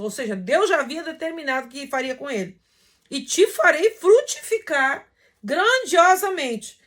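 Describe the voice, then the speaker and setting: A Brazilian woman with a high-pitched, very lively voice preaches in an informal, teacherly way. She reads scripture with deliberate weight and explains it in conversational, emphatic phrases, her intonation rising and falling widely. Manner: emphatic, didactic, slow when reading verses aloud, conversational asides. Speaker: preacher teaching a Bible lesson. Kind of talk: informal sermon with scripture reading.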